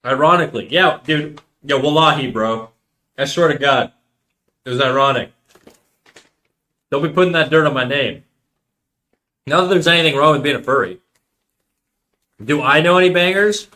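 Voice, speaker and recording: A man's voice: on the high side, normal speed, long pauses, bright, forceful tone. A man of thirty chatting casually on a live stream, rambling in slang and reacting to chat messages. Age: 30s.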